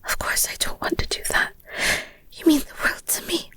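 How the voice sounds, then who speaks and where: A woman playing a devoted, guilt-ridden werewolf girlfriend in an intimate audio roleplay, speaking earnestly and haltingly in a breathy whisper. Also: bright, forceful tone, no room sound, close to an everyday voice. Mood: anguished, protective. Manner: hesitant, halting, earnest, tender.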